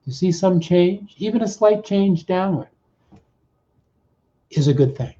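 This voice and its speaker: A man working as a coach, leading a guided self-help exercise in short, deliberate, repeated phrases with pauses between them. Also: high-pitched, lively intonation, dark tone. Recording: dull, muffled lo-fi audio.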